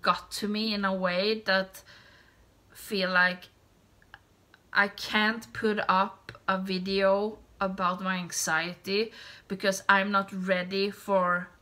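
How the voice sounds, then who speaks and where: A woman with a Swedish accent, a native Swedish speaker, chatting casually to the camera in a light voice, rambling and hesitant, trailing off softly. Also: mid-range voice, flat delivery, slow. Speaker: beauty YouTuber. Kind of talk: casual tag video chat.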